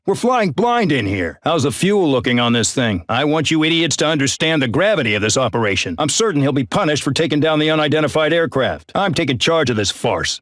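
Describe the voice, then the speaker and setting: A man's voice: a little high, fairly bright tone, dry recording. A man voicing an arrogant, bullying male air base colonel in a game, barking brusque, contemptuous orders. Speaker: military commander. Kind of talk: game voice acting.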